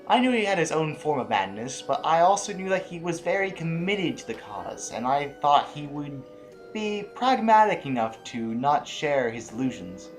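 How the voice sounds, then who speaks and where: A man's voice: fairly high pitch, sing-song, normal speed, soft background music, low-quality recording. A man playing a proud, defiant general in an audio drama, formal and pointed with a sardonic edge. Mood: sardonic, defensive. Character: proud, principled, defiant male general of rebels.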